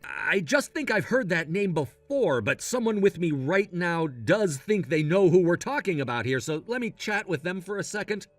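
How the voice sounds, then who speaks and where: A supportive young groom, played by a man in a melodrama, earnest and take-charge. His voice sits a little high with animated swings, recorded dry over soft music. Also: moderate pace, hardly any pauses, no echo. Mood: thoughtful. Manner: reassuring, earnest. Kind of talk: scripted melodrama story dub.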